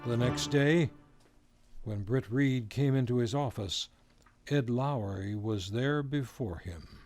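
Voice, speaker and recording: A man narrating and announcing a community radio drama in a measured, formal way. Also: mid-range voice. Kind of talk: old-time radio narration. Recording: loud music behind. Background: music.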